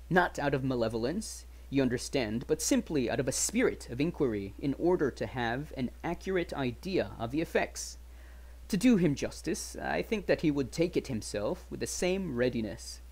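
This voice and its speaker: An animated, swooping voice of a young gentleman performed by a male reader in a storybook. He talks candidly and politely, with emphatic phrasing and a wary edge. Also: medium pitch, husky. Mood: wary, earnest. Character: young gentleman acquaintance, frank, chatty, wary of his friend. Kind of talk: dramatic audiobook reading.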